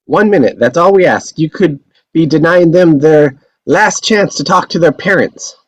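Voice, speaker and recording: An actor reading a pushy, smooth-talking male TV reporter at a table read. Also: a little high, moderate pace, no room sound, lightly acted, low-quality recording.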